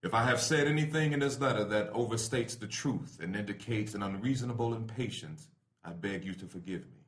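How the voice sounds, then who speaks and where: A man giving a solemn, measured, oratorical reading of a letter, with deliberate pauses. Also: fairly low pitch. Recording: echoey room.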